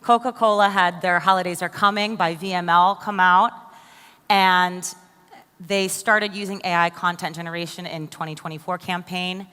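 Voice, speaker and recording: A woman's voice: fairly low pitch, steady tone, normal speed, sharp tone, clear, resonant tone. An American woman giving a conference keynote, enthusiastic and asking the audience questions directly. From United States. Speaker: conference speaker. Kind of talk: keynote presentation. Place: large conference hall. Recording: long hall reverb.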